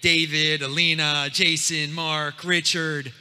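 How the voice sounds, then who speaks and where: A man talking loudly and with energy to a crowd at a meetup, in the style of a channel host. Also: a little high, slow, smooth, long reverb tail. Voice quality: loud, energetic. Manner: hyped, pumped-up delivery. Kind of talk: live talk to a crowd. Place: meetup room.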